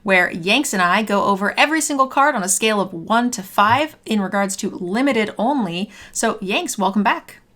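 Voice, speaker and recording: A young woman hosting a card game review, a bit hoarse as her voice is failing, talking in an upbeat conversational way. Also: medium pitch, expressive, normal speed, few pauses, fairly bright tone. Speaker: host. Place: home streaming room, indoors.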